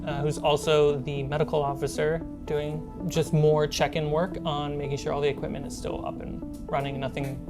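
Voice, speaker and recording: A woman's voice: low voice, flat delivery, normal speed. A woman narrating a tabletop roleplaying game as its game master. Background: clear background music.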